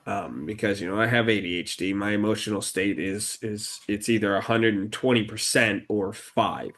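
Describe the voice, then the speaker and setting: A man co-hosting a podcast, talking slowly and deliberately in a casual chat, with frequent fillers and restarts. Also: fairly low pitch, steady tone, a bit bright. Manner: halting, many fillers and restarts, deliberately slowed speech. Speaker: podcast co-host. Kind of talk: casual conversational podcast talk.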